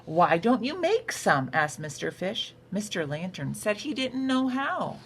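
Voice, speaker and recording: A woman reading a picture book aloud for children in an expressive, unhurried storytelling manner. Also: mid-range voice. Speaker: storytime reader. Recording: noticeable background noise.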